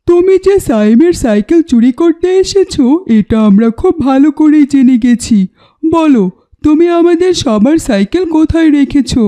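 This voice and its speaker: A woman's voice: sits high, expressive, moderate pace, dark tone. A woman voicing an indignant, bossy young accuser in a cartoon, scolding and giving commands. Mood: stern, indignant. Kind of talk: cartoon voice acting.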